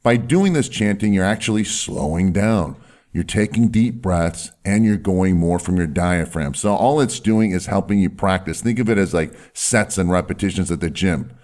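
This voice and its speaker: A man, a doctor, teaching viewers in an instructional video, emphatic and slowing down to drop his voice low on key words. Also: darkish tone. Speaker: doctor and health video host. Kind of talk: instructional video talk to camera.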